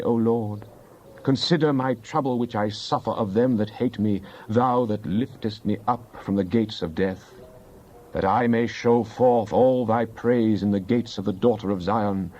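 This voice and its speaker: A man's voice: fairly low pitch, darkish tone, dull audio. A man playing a fervent psalmist in a dramatized Bible reading, solemn and declamatory in archaic scripture language. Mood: earnest plea. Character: fervent psalmist pleading with and praising God.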